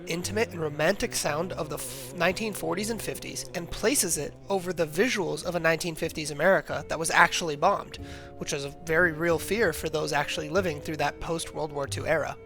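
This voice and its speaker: Young man reading a scripted analysis. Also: a little high. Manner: measured, reading from a prepared script. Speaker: student presenting a music analysis. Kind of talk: scripted narration of a video essay. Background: music playing under the voice.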